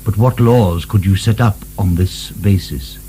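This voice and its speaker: Suave, low-voiced revolutionary interrogator played by a man. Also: unhurried, dark tone, faint music behind, natural voice. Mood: measured, testing. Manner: probing, silkily polite, pointed. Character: suspicious male revolutionary official, suavely menacing interrogator. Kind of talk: British radio drama acting.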